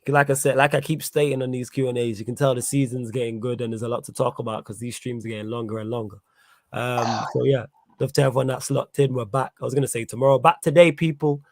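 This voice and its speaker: Guy hosting a live football fan stream, lowish voice, slangy banter, close dry sound. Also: steady tone, fast talker, no room sound. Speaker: host of a football fan show. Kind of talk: casual live sports chat.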